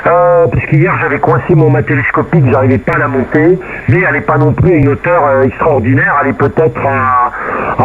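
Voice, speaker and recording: A man chatting casually and colloquially over amateur radio, often repeating his phrases. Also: a little high. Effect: radio transmission. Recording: narrow, noisy radio transmission. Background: faint radio noise.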